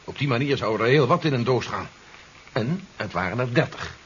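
An actor playing an earnest investigator who explains things formally and urgently in a 1970s Dutch radio drama. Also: male voice, mid-range voice, lively intonation, moderate pace, close to an everyday voice. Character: earnest male investigator warning of an alien invasion. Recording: dull, noisy 1970s radio recording.

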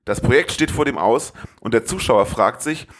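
Brief: male podcast co-host, mid-pitched, scripted movie retelling with playful asides. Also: lively intonation, moderate pace, a bit bright, slight background noise. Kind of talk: scripted film recap narration with playful asides.

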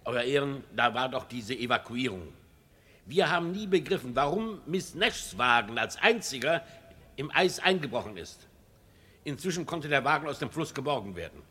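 An actor playing an earnest company director on the witness stand, husky and emphatic, in a 1970s radio play. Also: male voice, medium pitch, very animated, normal speed, bright, forceful tone, full character voice.

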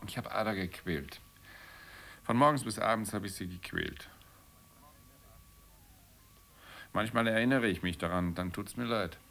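An actor in a German radio play voicing a lonely, bitter, brooding man, gravelly and weary, answering in a terse, grudging way. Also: lowish voice, normal speed, a bit bright. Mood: regretful, longing. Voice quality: gravelly, creaky.

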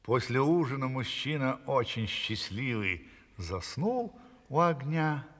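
A man telling a fairy tale to children theatrically, savouring his words with a breathy, hushed delivery. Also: medium pitch, sing-song, slow, long reverb tail, full character voice. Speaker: storyteller.

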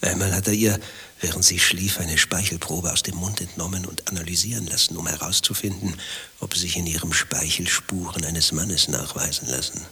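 A man's voice: low voice, steady tone, normal speed, sharp tone, husky, exaggerated voice. An actor playing an infatuated married man in a radio play, defensive and given to impassioned philosophizing. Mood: confiding, breathy. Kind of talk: German radio play dialogue acting.

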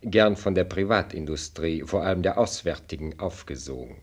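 An older man acting a shrewd, courtly minister of state in a radio play, speaking in measured, ornate, dryly pointed phrases. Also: sits low, animated. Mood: dryly suggestive.

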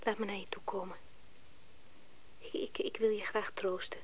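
An actress playing a tender, attentive married woman in an intimate radio drama, speaking in a soft, breathy murmur. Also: medium pitch, flat delivery, normal speed, in a whisper, natural voice. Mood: tender, concerned.

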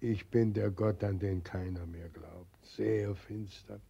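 Brief: a weeping old god nobody believes in, slow, husky and gravelly, dull old radio recording. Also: male voice, lowish voice, steady tone, dark tone, heavily acted. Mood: grieving. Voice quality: tearful, lamenting. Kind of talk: 1940s radio drama acting.

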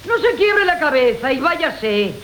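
An actress with a Castilian accent playing a saucy, mocking maidservant in a classical radio comedy, sly and taunting. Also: female voice, high voice, big pitch swings, measured pace, fairly bright tone, exaggerated voice. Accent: Castilian Spanish. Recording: hissy 1980 radio broadcast recording.

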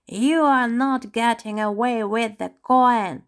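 A woman voicing a shouting villain ostrich clown. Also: medium pitch, takes it slowly, close to an everyday voice. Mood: furious. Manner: shouting, menacing. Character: angry clumsy male ostrich clown villain.

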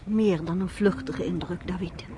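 A woman's voice: on the low side, moderate pace, mellow, slight background noise, lightly acted. An actress playing a polite, thoughtful and hesitant woman in a radio drama. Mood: reserved, thoughtful. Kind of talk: Dutch radio drama acting.

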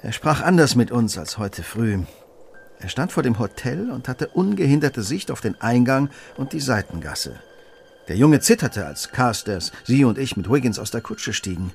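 Radio-play detective: brilliant, exacting, mid-pitched with animated intonation, faint noise behind. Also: male voice, moderate pace, vocal fry. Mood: musing, grave. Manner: precise, questioning, authoritative. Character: brilliant, exacting male detective, coolly analytical. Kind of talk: German radio play acting.

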